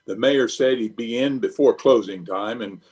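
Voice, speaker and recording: A man's voice: medium pitch, normal speed, darkish tone, husky, natural voice. A man playing a blustering, self-important middle-aged uncle and small-town official in an old-time radio comedy, with a pompous manner. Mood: bossy.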